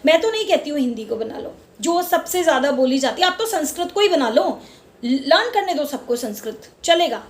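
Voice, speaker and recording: A woman's voice: high-pitched, animated, a little fast. A middle-aged Indian woman hosting her own vlog, speaking with passion, repeating words for emphasis and mixing English words into her Hindi. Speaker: channel host. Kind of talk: casual opinion vlog. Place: lives in Mumbai.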